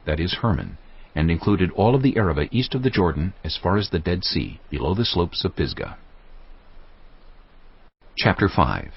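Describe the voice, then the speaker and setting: A man narrating scripture from a script in a measured, formal storytelling manner. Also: deep voice, vocal fry, husky, muffled recording.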